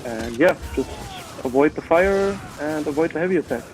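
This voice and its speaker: A man's voice: a little high, lively intonation, moderate pace, over music, low-quality recording. A man with a German accent, a native German speaker, chatting casually and commenting on his own gameplay with many filler words. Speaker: gamer.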